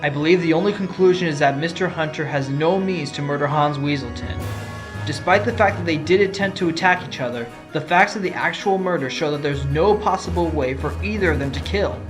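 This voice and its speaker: A confident defense lawyer in an audio drama, played by a man, with a fairly high male voice. He is assured and articulate, with clear music underneath. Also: brisk, lightly acted. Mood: confident, argumentative. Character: confident, quick-witted male defense lawyer with teasing streak. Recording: music behind the voice. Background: background music.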